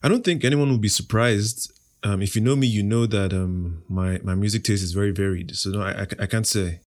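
A young Nigerian man, Igbo his mother tongue, talks with a low-pitched voice and a cocky, playful assurance. He answers quick interview questions as if savoring his own lines. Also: steady tone. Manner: confident, playful, self-assured. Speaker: podcast host, interview guest.

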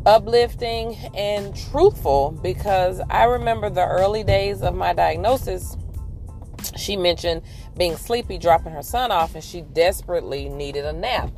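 A woman in her forties with a somewhat deep voice, chatting candidly and upbeat while she drives on her commute. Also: a little slow, a little mellow, faint music behind. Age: 40s. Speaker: podcast host. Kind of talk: casual chat while driving.

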